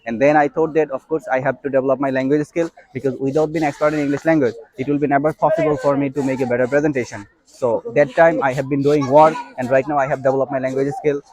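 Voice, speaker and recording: A man's voice: medium pitch, flat delivery, quick pace, a little mellow, rough recording. A young man with a Bangladeshi accent and Bengali as his first language, giving an instructional talk to learners, repeating and stressing his points. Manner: repetitive, emphatic explaining. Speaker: English teacher.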